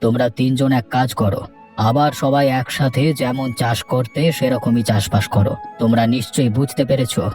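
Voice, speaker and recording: A man playing an avuncular village headman in a cartoon, giving advice in a measured, instructive way. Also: mid-range voice, steady tone, a little mellow, faint music behind, natural voice. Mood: advising, reassuring. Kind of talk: cartoon dialogue.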